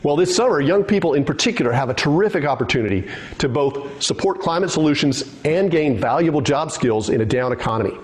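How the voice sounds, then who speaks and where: A man giving a steady, rallying scripted address to open a webinar. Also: on the high side, fast talker, hardly any pauses. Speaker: speaker in a recorded webinar intro.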